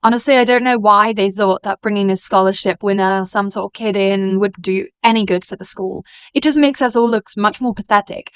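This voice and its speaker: A woman acts a haughty, commanding female at a school. Her voice sits at a middle pitch and is very clear, with a close, dry sound. The delivery is scripted animated-series drama. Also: fairly quick, few pauses, dry recording, lightly acted. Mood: scornful.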